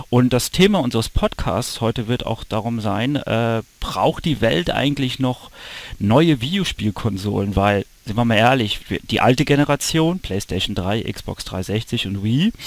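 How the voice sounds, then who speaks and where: Creaky-voiced man in his thirties, podcasting. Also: on the low side. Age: 30s. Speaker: podcast host. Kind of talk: casual podcast talk, unscripted. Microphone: online voice call.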